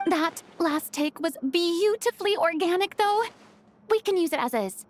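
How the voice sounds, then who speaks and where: A woman's voice: very high voice, animated, moderate pace. A woman voicing a theatrical, bossy and cheerful young female film director in an anime video game. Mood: reassuring. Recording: music underneath. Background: background music.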